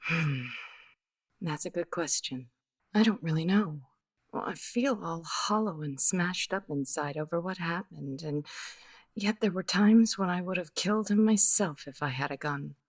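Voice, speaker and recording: A woman playing a weary, guarded, bittersweet widow in the style of a 1950s radio detective drama, speaking in a measured, hesitant way. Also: lowish voice. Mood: wistful, bruised.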